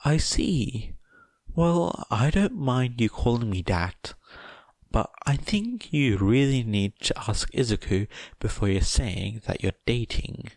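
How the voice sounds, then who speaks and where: A man's voice: mid-range voice, lively intonation, takes it slowly, a little mellow, no echo, pitched above the performer's own voice. A man voicing a middle-aged mother who is polite, fussing and sweetly menacing, in an audiobook-style story reading. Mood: motherly, cheerful.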